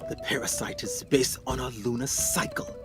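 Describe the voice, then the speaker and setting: A man playing a deranged, dying explorer in a radio play, speaking in a halting, intense, pleading way. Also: mid-range voice, music in the background, close to an everyday voice. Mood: grave, haunted. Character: deranged, dying male explorer, tormented and desperate. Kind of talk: dramatic radio play acting.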